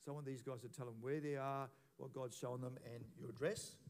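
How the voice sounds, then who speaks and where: Relaxed pastor. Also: male voice, medium pitch. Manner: relaxed, informal, asks quick questions. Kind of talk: leading a church service. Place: church hall.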